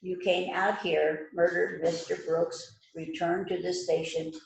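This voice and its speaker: A woman playing a smug, stern police detective in an amateur radio-style mystery, speaking deliberately and knowingly. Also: lowish voice, little pitch movement, creaky. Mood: accusing. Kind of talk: amateur readers theater rehearsal. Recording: roomy, echoing room sound.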